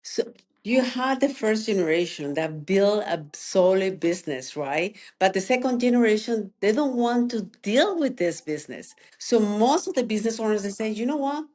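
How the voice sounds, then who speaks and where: Colombian-accented businesswoman, lively guest. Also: mid-range voice, moderate pace. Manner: energetic, talks in bursts with non-native phrasing. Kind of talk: interview answers.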